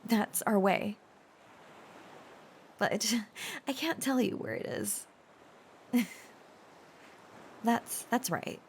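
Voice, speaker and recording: A woman playing a shy, tender young dragon-blooded girlfriend in an intimate audio roleplay, speaking hesitantly with stammers and soft pauses. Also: on the high side, expressive, slight background noise, full character voice. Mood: shy, playful.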